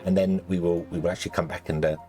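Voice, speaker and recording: A man with a low, slightly croaky voice, rambling through gameplay commentary with plenty of ums and restarts. Soft game music plays under his desk-mic narration. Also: level tone, rapid-fire. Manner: rambling, lots of ums and self-corrections. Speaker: let's play host.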